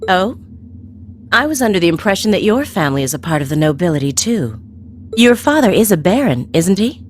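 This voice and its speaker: Coy, teasing, low-voiced woman villain. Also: very animated, brisk, slight background noise. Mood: light, probing. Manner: coy, teasing, sing-song. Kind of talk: video game voice acting.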